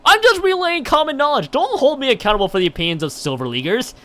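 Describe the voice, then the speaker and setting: A man's voice: very high-pitched, very animated, fast talker, sharp tone. A man livestreaming, chatting and reading a visual novel aloud, often stumbling over and rereading his lines. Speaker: live streamer.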